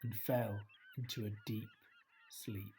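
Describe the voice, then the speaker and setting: A man reading a children's story aloud in a gentle, expressive way, with hushed dramatic drops. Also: on the low side, slow pace, a little hiss or hum. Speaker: storybook reader. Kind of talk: scripted children's story narration, read aloud.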